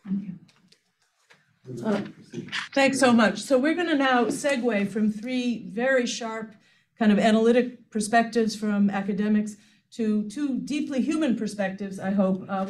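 A woman's voice: medium pitch, darkish tone. A woman moderating an academic event in a lecture room, introducing speakers politely with a few fillers. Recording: distant mic with faint noise.

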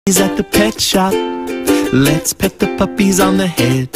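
A woman's voice: mid-range voice, unhurried. A woman presenting a children's counting piece in a singsong style, with simple instructions. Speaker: children's counting song narrator. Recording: loud ukulele music behind. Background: children's ukulele music.

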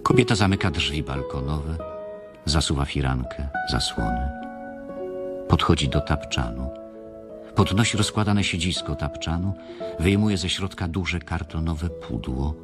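A very deep-voiced male narrator in a radio drama. He observes coolly and reports each action in a measured, matter-of-fact way, with clear music playing behind him. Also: expressive, exaggerated voice. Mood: cool, detached. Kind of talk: scripted radio play narration.

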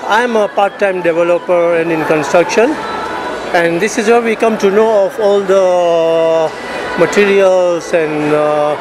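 A man's voice: sits high, fairly even intonation, a little slow. A man, a property developer, giving unscripted interview remarks. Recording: noisy event floor.

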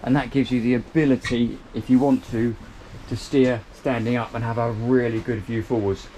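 A man's voice: mid-range voice, level tone, normal speed, dark tone, a little hiss or hum, poor audio. A man, giving an upbeat walkthrough tour of a small motor boat cabin, filmed on a handheld camera. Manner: chatty, upbeat, pointing out features as he moves. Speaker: boat reviewer.

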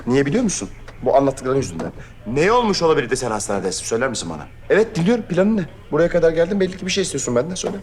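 An actor playing a sarcastic young police commissioner in a TV drama, teasing and deadpan. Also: male voice, on the high side, rapid-fire, slight background noise. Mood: exasperated. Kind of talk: TV series acting. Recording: music score under dialogue.

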